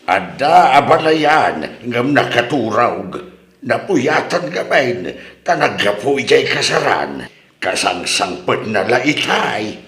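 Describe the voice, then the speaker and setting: A man's voice: medium pitch, very animated, slow pace, fairly bright tone, reverberant. A man with an Ilocano accent playing a flustered, reluctant husband in a comedy drama. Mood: flustered, grumbling. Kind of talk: Ilocano comedy radio-style drama acting.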